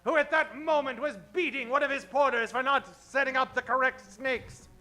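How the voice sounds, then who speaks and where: An actor playing the melodramatic narrator of a comedic old-time radio adventure serial, grandiose and emphatic. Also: male voice, very high voice, steady tone, normal speed.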